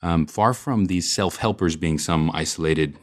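A man's voice: sits low, measured pace. A man hosting an academic book podcast, introducing his guest earnestly with frequent ums and uhs. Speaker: podcast host. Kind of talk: scripted introduction then interview questions.

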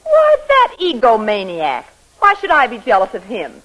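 A proud female executive, brisk and combative, quick to bristle with jealousy. Her delivery is emphatic, in the manner of 1940s radio comedy, heard on an old broadcast recording. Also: a little high, wildly swooping pitch, fairly bright tone, poor audio. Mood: indignant.

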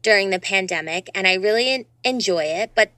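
A woman's voice: medium pitch, brisk, sharp tone, no room sound, studio quality. A young woman in her twenties with a youthful voice, chatting freely as an interview guest. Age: 20s. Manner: youthful, upbeat, talks freely with many "you know"s. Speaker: guest, dancer and voice actor. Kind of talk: casual interview chat.

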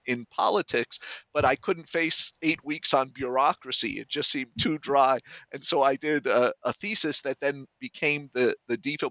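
Bright-voiced American man interviewing a guest. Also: medium pitch, no echo. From United States. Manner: long, winding questions with repeated words. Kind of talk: conversational interview. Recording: dry, dull, lo-fi sound.

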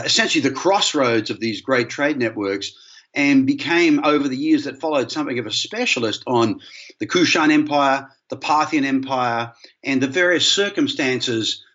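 A man with an Australian accent, a historian guest answering podcast questions in a measured, thoughtful way. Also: medium pitch, a bit bright, muffled recording. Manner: measured and thoughtful, hesitant between phrases.

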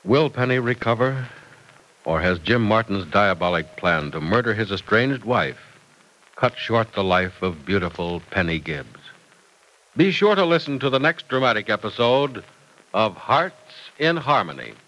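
A man's voice: on the low side, big pitch swings, measured pace, fairly bright tone, low-quality recording. A man working as a 1940s radio announcer, delivering scripted lines in an emphatic, polished salesman's manner. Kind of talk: 1940s dramatic narration and sponsor pitch. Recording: old radio broadcast recording.

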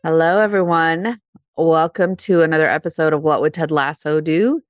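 A woman's voice: sits low, normal speed, no room sound, soft background music. A woman co-hosting a podcast, under the weather and a bit nasal, chatting casually and laughing often. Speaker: podcast co-host.

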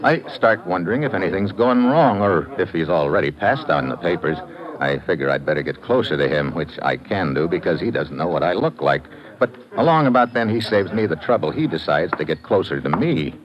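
An actor playing a tough, wry detective in an old-time radio drama, with a hardboiled, slangy delivery. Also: male voice, low-pitched, lively intonation, brisk, crowd noise. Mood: dry, narrating. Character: tough, wry male American detective narrating his case. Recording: noisy, muffled vintage radio recording.

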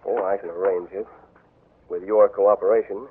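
An actor playing a sly, mocking gambler and blackmailer in a 1940s radio drama. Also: male voice, low-pitched, fairly even intonation, moderate pace, slightly rough. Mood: scheming. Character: smooth scheming male gambler blackmailer. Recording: tinny old radio broadcast.

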